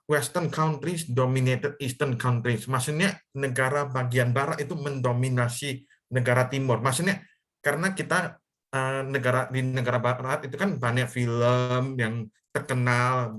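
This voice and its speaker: A man's voice: mid-range voice, a bit bright. A young man from Indonesia teaching an English lesson over a video call, explaining in simple steps and checking in with the students. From Medan, North Sumatra, Indonesia. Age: young adult. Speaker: online English tutor. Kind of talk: live virtual class teaching.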